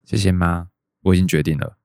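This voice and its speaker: A man's voice: low voice, a little fast, darkish tone, no room sound. An actor with a Taiwanese Mandarin accent playing an earnest, determined man in his thirties in a radio drama. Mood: pleading, determined. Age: 30s. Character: earnest, determined thirty-two-year-old son chasing a dream. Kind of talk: radio drama monologue and dialogue.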